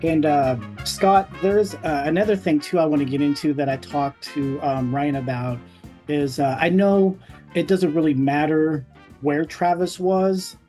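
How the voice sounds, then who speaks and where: Higher-pitched man hosting casual podcast. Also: unhurried, warm tone, soft background music. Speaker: podcast host.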